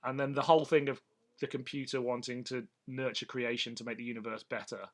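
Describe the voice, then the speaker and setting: A man's voice: medium pitch, little pitch movement, quick pace. A man co-hosting a podcast, talking through a review in a casual, jokey, sweary way. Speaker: podcast host. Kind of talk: casual chat, review discussion.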